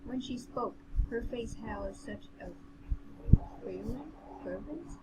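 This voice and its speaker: A woman's voice: a little high, slow, heavy background noise. A woman doing amateur narration of a fantasy novel, as co-host of a reading show, on a home recording.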